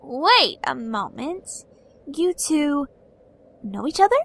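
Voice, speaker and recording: A woman's voice: high-pitched, very animated, slow, gravelly, a bit bright, full character voice. A woman voicing an earnest, kindhearted young pony mare in an audio drama, with a polite, pleading manner. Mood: polite, curious.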